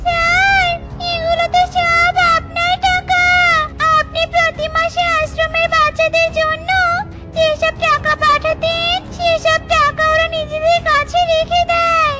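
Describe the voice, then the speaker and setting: A woman's voice: very high voice, completely flat delivery, a little slow, over music. A woman voicing the ghost of a dead mother, vengeful yet tender, in a dramatic children's cartoon style. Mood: accusing.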